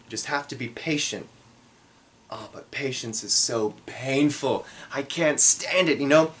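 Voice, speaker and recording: A man telling a comedic story from his own life in a theatrical, self-deprecating way, with dramatic pauses. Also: medium pitch, a bit bright.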